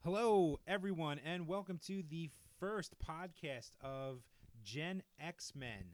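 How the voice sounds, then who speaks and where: A man's voice: on the high side, slow. A man in his early forties hosts a podcast, speaking in a casual chat and steering the talk along easily. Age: 40s. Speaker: podcast host.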